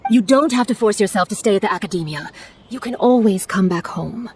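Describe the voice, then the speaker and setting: An actress playing a concerned, protective, gentle mother in a video game, soft and coaxing. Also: mid-range voice, brisk, slight background noise, natural voice. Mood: worried, tender. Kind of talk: scripted video game dialogue.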